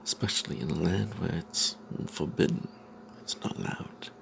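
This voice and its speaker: Breathy whispering man with gravelly fry. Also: deep voice, moderate pace. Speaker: narrator of a fantasy tabletop tale. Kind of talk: whispered ASMR storytelling.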